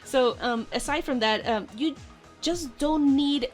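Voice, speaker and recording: Rambling, animated young-sounding woman. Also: sits high, measured pace, soft background music. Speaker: guest, video editor. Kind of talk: casual podcast chat.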